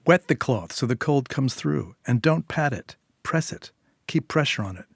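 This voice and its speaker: A young woman, a nurse and mother, firm and brisk under strain , as a male narrator plays her. She gives clear, insistent instructions in a dry, close short-story recording. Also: mid-range voice, animated, no room sound.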